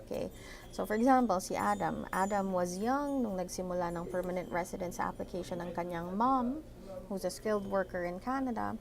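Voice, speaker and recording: A woman with a Filipino accent walks her live-stream viewers through a topic, switching between English and Tagalog. Her voice has a gravelly, fry-tinged edge, and she keeps pausing on fillers and a checking "right?". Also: medium pitch, crowd noise. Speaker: immigration consultant hosting a live Q&A. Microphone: computer setup at a desk.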